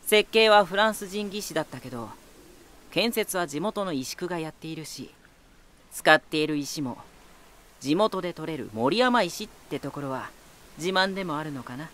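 An actor playing a teasing, talkative lighthouse spirit in an anime-style voice drama, boyish and self-assured with an unsettling edge. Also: male voice, on the high side, lively intonation, moderate pace, a bit bright. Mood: relaxed, proud. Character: playful male lighthouse spirit, teasing, talkative, eerily battle-hungry.

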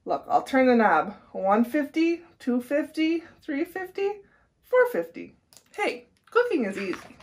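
A woman's voice: sits high, big pitch swings, a little slow, pitched above the performer's own voice. A woman voicing an over-eager, literal-minded iguana in a storybook reading.

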